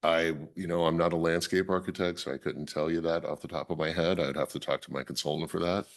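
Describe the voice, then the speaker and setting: A man with a raspy, worn voice, presenting his project at a public planning meeting and restarting his sentences often. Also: sits low, fairly even intonation, fast. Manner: self-correcting, restarts often. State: raspy voice from a weekend tournament. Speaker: applicant presenting a site plan.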